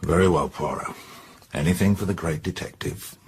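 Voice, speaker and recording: An actor playing a blunt, suspicious middle-aged English police inspector, bluff and colloquial, in a radio drama. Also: male voice, deep voice, normal speed, darkish tone, full character voice. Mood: gruff, grudging. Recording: clear background noise and ambience.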